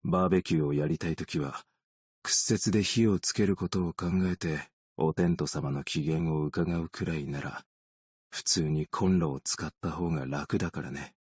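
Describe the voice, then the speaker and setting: Casting: a long-lived, world-weary male optician in a game; deep husky voice, understated, gently melancholic delivery. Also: moderate pace, dry recording, clean studio sound. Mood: calm, reflective. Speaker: video game character voice actor. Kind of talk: scripted game character voice lines.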